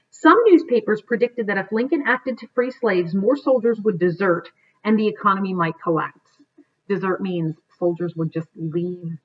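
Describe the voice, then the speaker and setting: Middle aged lady teacher reading a history book out loud, careful delivery, kinda dull laptop mic sound. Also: mid-range voice. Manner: careful reading with stumbles and self-corrections. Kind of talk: read-aloud of a history book. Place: living room at home, indoors. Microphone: computer webcam microphone at desk distance.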